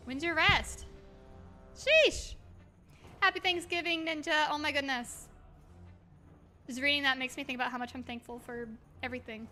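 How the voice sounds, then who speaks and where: A woman chatting casually on a game livestream, expressive and affectionate, reading messages aloud, with a slightly hoarse voice. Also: high-pitched, moderate pace, long pauses. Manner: expressive, exclaims often, gushes affectionately. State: slightly hoarse, by own account. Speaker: livestream host. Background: music.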